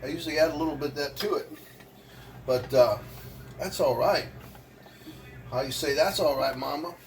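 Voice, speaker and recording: A man's voice: fairly high pitch, moderate pace, distant mic, a little hiss or hum. A man in his sixties, a vlogger and motivational speaker, chatting casually to the viewer in a rambling way while he cooks in his kitchen. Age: 60s. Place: home kitchen.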